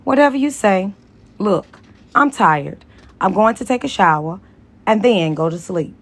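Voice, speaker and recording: A woman's voice: mid-range voice, lively intonation, a little slow. A woman voicing a blunt, independent mistress who is smug and dismissive, in audiobook dialogue.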